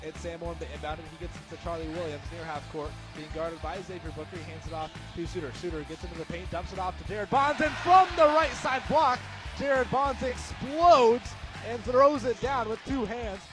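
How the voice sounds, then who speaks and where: A young American man giving live radio sports commentary, moving between measured description and excited, shouted calls. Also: sits high, music in the background. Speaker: play-by-play sports announcer.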